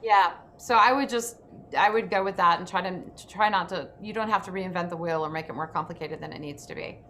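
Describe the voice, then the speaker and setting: A woman's voice: on the low side, fairly quick, a bit bright, slight background noise. A woman hosting a workshop, explaining her advice emphatically as a coach in a live online session. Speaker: panel host and acting coach.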